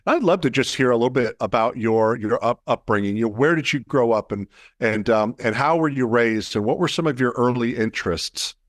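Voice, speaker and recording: A man's voice: medium pitch, fairly quick, no room sound, clean studio sound. A man hosting an interview podcast, asking long, thoughtful, winding questions. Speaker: podcast host.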